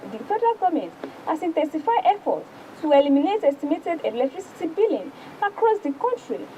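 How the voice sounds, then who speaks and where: A woman's voice: high voice, warm tone, a little hiss or hum, low-quality recording. A woman with a Nigerian accent presenting a newspaper review, reading formally aloud with occasional stumbles. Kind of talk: news reading and paper review.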